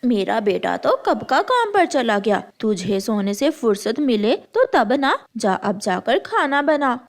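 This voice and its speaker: A woman's voice: on the high side, sing-song, unhurried. A woman voicing a scornful older mother-in-law bird in a cartoon, nagging in a sarcastic, bossy way. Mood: bossy contempt.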